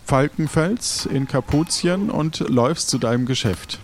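A man improvising the narration of an adventure audio play, halting as he searches for words. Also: on the high side, slight background noise. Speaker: game narrator and player.

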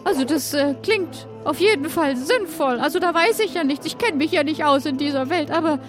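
A woman's voice: sits high, animated, fairly quick, over music. A woman playing Greta, a grieving, hesitant woman searching for someone lost, in an improvised audio adventure. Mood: unsure.

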